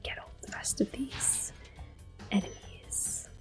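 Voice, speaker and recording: A woman giving gentle, soft-spoken ASMR gameplay commentary in a breathy, unhurried whisper. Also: faint music behind. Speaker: gameplay host.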